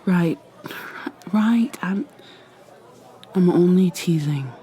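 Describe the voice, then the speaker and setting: A woman voicing an ancient vampire mermaid in an ASMR roleplay, speaking low and soft in a teasing half-whisper. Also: lively intonation, very slow.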